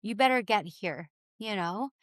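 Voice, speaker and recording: A woman with a raspy voice, telling her own story candidly and informally as a podcast guest. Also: mid-range voice, expressive, a little slow, no echo. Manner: informal, peppered with "like" and "you know".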